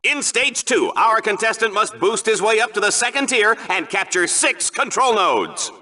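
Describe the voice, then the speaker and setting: A man's voice: high-pitched, sing-song, normal speed, pushed and bright, exaggerated voice. A man voicing a smug, cowardly show-off arena commentator in a video game, theatrical and sarcastic in an over-the-top game show style. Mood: excited, sarcastic. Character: smug, hammy male sci-fi arena sportscaster, cowardly show-off. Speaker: sports commentator.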